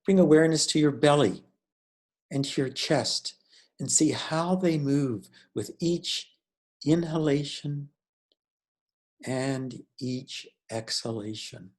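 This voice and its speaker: Slow-paced man guiding a meditation. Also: a little high, darkish tone. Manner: measured, deliberate, with pauses between phrases. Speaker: meditation teacher. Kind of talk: guided meditation instruction.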